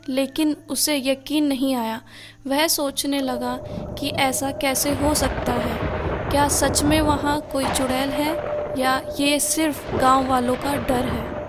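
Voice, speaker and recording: A woman's voice: high-pitched, a little hiss or hum. A woman narrating a scripted story for children as a storyteller.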